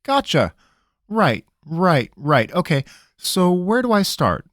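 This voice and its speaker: A man voicing a nervous, rambling young farm-boy mage with a high, twangy, folksy voice. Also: sing-song, slow pace, fairly bright tone, dry recording, studio quality, full character voice. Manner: jittery, wheedling, folksy.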